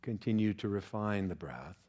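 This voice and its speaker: Deep-voiced man guiding a calm meditation. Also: husky. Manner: calm, measured, soothing instruction with pauses. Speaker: yoga nidra teacher. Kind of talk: guided relaxation meditation.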